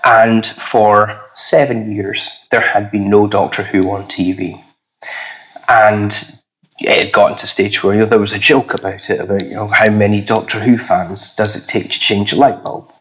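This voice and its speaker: A man with a husky, slightly hoarse voice, a podcast guest chatting casually, hesitant and full of fillers. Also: steady tone, normal speed, fairly bright tone, rough recording. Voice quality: husky, lower than usual. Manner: rambling, hesitant with many fillers. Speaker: podcast guest, comics critic.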